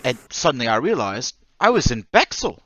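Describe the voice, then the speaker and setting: A man's voice: medium pitch, sing-song, moderate pace, a bit bright, no echo, dull audio. An actor playing a timid, troubled stocking salesman in an old-time radio drama, hesitant, stammering and deferentially polite. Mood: anxious, confessional.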